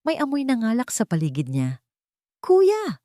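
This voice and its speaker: A woman's voice: lowish voice, expressive, moderate pace, warm tone, no room sound, clean studio sound. A woman narrating a scripted romance story in the first person, in a dramatic style.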